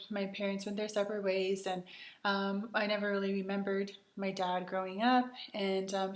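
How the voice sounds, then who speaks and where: A woman's voice: medium pitch, echoey room. Chatty woman telling her story. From Canada. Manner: chatty, many "um"s and "so"s, earnest. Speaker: retreat host. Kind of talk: personal storytelling to camera.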